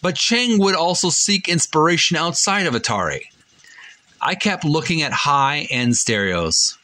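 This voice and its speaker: A man hosting a video game channel, speaking casually or reading aloud in a halting, deliberate, phrase-by-phrase way. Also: fairly high pitch, expressive, bright, forceful tone. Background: background music.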